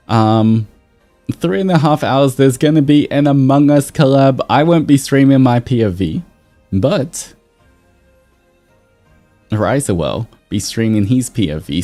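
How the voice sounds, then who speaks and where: A man's voice: medium pitch, warm tone. A man hosting a livestream, chatting casually to his viewers in a laid-back, rambling way. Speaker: livestreamer, VTuber host. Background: background music.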